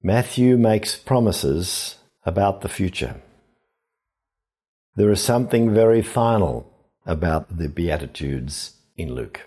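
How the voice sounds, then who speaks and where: A man giving a reflective scripture sermon as a priest, in a measured, deliberate, explanatory manner. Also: sits low, lively intonation, warm tone, slightly rough.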